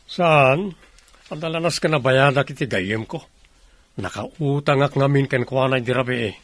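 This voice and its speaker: A man's voice: mid-range voice, normal speed, fairly bright tone, natural voice. A man playing a spoiled, pushy younger brother in an audio drama. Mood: wheedling, pushy.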